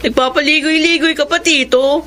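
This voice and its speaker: An actress playing a chatty, teasing young college student and best friend in a radio drama. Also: female voice, high voice, fairly even intonation, normal speed, pushed and bright, a little hiss or hum. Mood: evasive, playful. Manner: playful, teasing. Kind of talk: Filipino radio drama acting.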